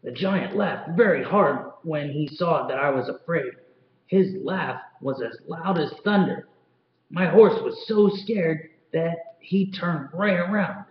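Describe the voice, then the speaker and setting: A man's voice: a little high, slow, voice pushed up. A man voicing a shaken male wagon driver in a storybook, telling his story urgently. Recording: roomy, slightly dull recording.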